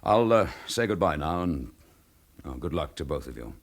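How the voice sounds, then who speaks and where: An actor playing a dogged, frustrated police chief inspector in a radio drama, with a brisk, probing, forceful manner. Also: male voice, extremely low pitch, lively intonation, heavily acted.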